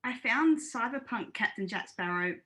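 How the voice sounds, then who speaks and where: A woman speaking casually as a podcast panellist, in a measured and candid way. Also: fairly high pitch, animated, fairly bright tone.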